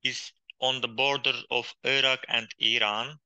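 A man from Turkey's far east, with as his in English, answering interview questions in a halting way with many pauses and fillers. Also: mid-range voice, flat delivery, pushed and bright, clear, resonant tone, no echo, highs cut off. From Hakkari region of Turkey, Kurdish. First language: Kurdish. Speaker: guest, poet and journalist. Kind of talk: interview conversation.

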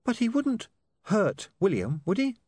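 A man's voice: high voice, huge pitch swings, a little slow, darkish tone, dry recording, clean studio sound, voice pushed up, exaggerated voice. A man voicing a concerned, polite young woman in an audiobook, with a hesitant, questioning manner. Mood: uncertain.

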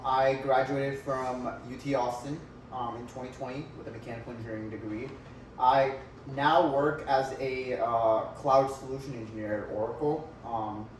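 A man's voice: medium pitch, a little hiss or hum. A man in his twenties from India, chatting with friends in a teasing way, with plenty of ums between his thoughts. His voice is caught from across an echoey room, away from the microphone. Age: 20s. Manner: teasing, lots of fillers. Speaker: podcast co-host. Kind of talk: casual chat. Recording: roomy echo, distant mic.

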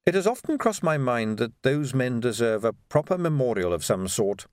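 A man's voice: medium pitch, very animated, moderate pace, darkish tone, no room sound, natural voice. Man acting a storybook nobleman ruler, unruffled, formal, understated, dry close sound, lilting range. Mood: smooth, musing. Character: suave, unruffled aristocratic male city ruler, drily amused. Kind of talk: dramatic audiobook character dialogue.

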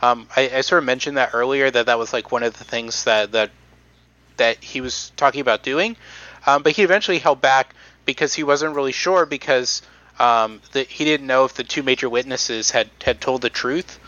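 A man's voice: medium pitch, fairly even intonation, moderate pace, pushed and bright, dry recording, dull audio. A man hosting a casual podcast, reading out notes with many ums and restarts. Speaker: podcast host presenting research.

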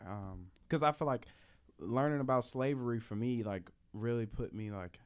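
A young man hosts a casual podcast, speaking in a clear, smooth voice at an everyday pitch. His phrasing is loose and informal, with lots of filler words as he asks questions. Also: normal speed. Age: 20s. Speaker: podcast host.

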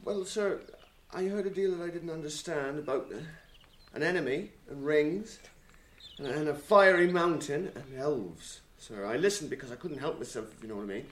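An actor playing a humble, excitable young hobbit gardener, flustered and deferential, with a rural English accent, in a radio drama. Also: male voice, fairly high pitch, moderate pace, slight background noise, natural voice. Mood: sheepish, earnest. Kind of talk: British radio drama acting.

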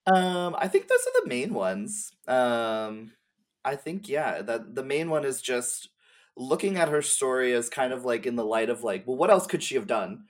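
A woman's voice: rumbling low, very animated, moderate pace. Woman thinking aloud in casual chat. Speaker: podcast guest. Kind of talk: casual conversational commentary on game lore.

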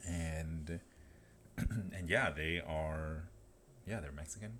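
A man's voice: very deep voice, slow, fairly bright tone, a little hiss or hum. A man in his twenties from Los Angeles, co-hosting a casual podcast, rambling and laughing at himself. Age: 20s. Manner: rambling, self-interrupting, laughs often. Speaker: podcast co-host. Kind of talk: casual chat.

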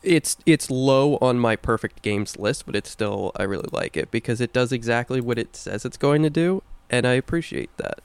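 A young man with a low voice, co-hosting a podcast in casual chat, earnest and deliberate with a dry wit. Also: gravelly, clear, resonant tone, no echo. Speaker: podcast co-host.

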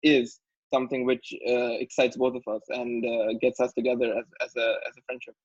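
A man's voice: mid-range voice, flat delivery, brisk, husky, no room sound, muffled recording. A young man from India co-hosting a casual podcast, speaking hesitantly with frequent "uh" fillers. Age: young adult. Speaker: podcast co-host. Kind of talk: casual chat.

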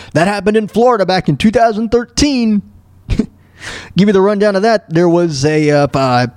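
An American man hosting a talk show solo, rambling casually through news and sports in a halting, stammering way, repeating words and phrases. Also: sits high, darkish tone, no room sound, studio quality.

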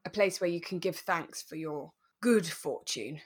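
Woman, lowish voice, chatting casually. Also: a little slow, slightly rough. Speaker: podcast co-host. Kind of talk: relaxed casual chat about a radio drama.

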